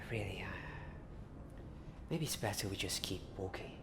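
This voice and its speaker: A woman playing an eager wizard-school student in a soft, hesitant, often whispered ASMR roleplay. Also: a bass voice, expressive, a little slow. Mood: wistful, hesitant. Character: eager Hogwarts student hunting for a secret ghost party. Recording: noisy ambience.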